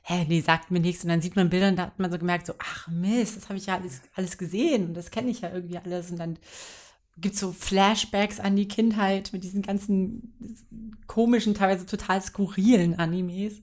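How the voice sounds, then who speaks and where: A woman's voice: mid-range voice, fairly even intonation, fairly quick, darkish tone. A young woman co-hosting a podcast, chatting casually and leaning on lots of fillers. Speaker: podcast co-host. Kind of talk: casual chat.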